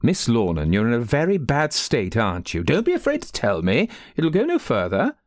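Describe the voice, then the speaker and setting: Storybook role: indignant, insistent rector's wife performed by a man, very animated and raised in pitch, dry close sound. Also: a little slow, gravelly, no echo, heavily acted. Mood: firm, kindly. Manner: emphatic, goading, insistent. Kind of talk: audiobook character voice.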